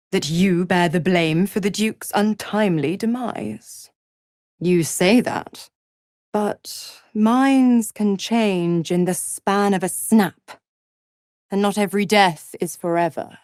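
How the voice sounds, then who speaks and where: A woman playing a seductive, scheming half-devil in a video game, smug and condescending, with a silky, theatrical delivery and mock sweetness. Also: medium pitch. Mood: gloating. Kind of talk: scripted video game character acting.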